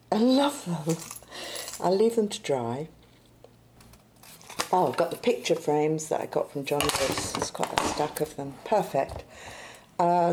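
A woman in a spontaneous-sounding solo monologue, thinking aloud and murmuring to herself in short fragments. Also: low-pitched. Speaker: performance artist in an audio playlet. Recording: clearly noisy recording with clicks.

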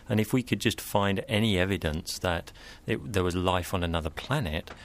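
A man as a scientist guest, explaining carefully and in a measured way in an interview. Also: low-pitched.

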